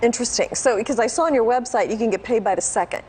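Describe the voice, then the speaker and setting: A woman's voice: on the high side, rapid-fire, darkish tone, slight background noise. A woman hosting a business interview in a conversational style, asking questions and reacting to answers. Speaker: interviewer, host.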